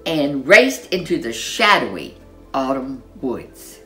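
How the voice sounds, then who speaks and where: A woman storyteller reading a children's storybook in an expressive, animated way, with dramatic emphasis. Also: very deep voice, slow, faint music behind.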